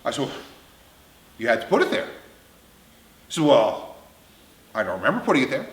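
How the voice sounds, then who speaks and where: Guy in his 50s telling crude funny stories, blunt, really animated, echoey room. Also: medium pitch, brisk. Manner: blunt, crude, emphatic, swearing. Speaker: storyteller. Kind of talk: comedic anecdote storytelling. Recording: roomy, echoing indoor sound.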